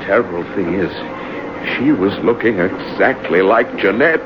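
A weak, guilt-ridden husband played by a man, hesitant and submissive. His fairly low voice swings widely with anxiety. It comes through a muffled, noisy vintage radio drama recording. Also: expressive, normal speed, over music, close to an everyday voice. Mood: haunted. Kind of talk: old-time radio drama acting.

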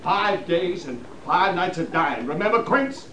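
A man's voice: high voice, animated, unhurried, bright, forceful tone, heavily acted. An actor with an American accent playing a vengeful, cowardly frontier gunman, bitter and taunting, with a folksy drawl in 1950s Western radio style. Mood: menacing. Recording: noisy, echoey 1950s radio recording.

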